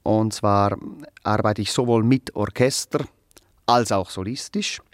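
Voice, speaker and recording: Male actor as self-important musician, low voice, wordy, hesitant, clinically precise, radio play, dry sound, faint music behind. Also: a little slow, no room sound, natural voice. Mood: evasive, self-important. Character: pedantic, self-important musician applying coolly to be executioner. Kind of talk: naturalistic radio play dialogue.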